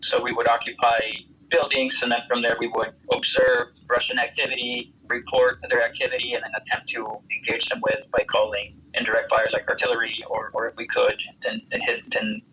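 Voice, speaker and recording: An American man gives a spontaneous interview account as a military veteran. His mid-pitched voice has a bright, firm edge, and he often restarts phrases and repeats words. A faint noise sits behind the recording. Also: monotone, fairly quick, poor audio. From United States.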